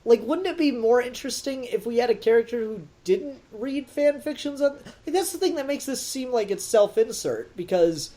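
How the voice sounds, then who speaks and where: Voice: mid-range voice, expressive, moderate pace, hardly any pauses, warm tone. A podcast co-host giving a casual, blunt and emphatic critique in conversation. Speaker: podcast co-host reviewing an audio drama.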